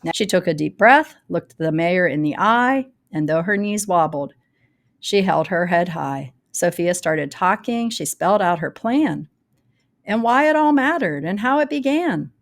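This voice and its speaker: A woman reads a rhyming children's storybook aloud, telling it in a dramatic, deliberate way with varied emphasis. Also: fairly low pitch, animated. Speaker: storybook reader. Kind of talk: expressive read-aloud of a rhyming children's picture book.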